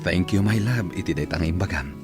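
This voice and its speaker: A man's voice: low-pitched, fast talker, over music. A man with an Ilocano accent playing a devoted, generous suitor in a radio drama, speaking tenderly and earnestly.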